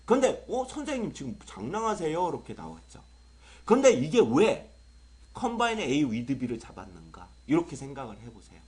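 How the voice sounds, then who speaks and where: A young adult male English tutor with a sore throat from a cold, lecturing in an excitable, exaggerated way full of rhetorical questions. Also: a little high, moderate pace, husky. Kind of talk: online exam-prep lecture.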